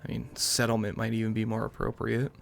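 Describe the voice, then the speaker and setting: A man's voice: lowish voice, monotone, fairly quick, vocal fry, slight background noise. A tired young man giving casual, subdued, rambling let's-play commentary late at night. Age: young adult. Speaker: gaming YouTuber.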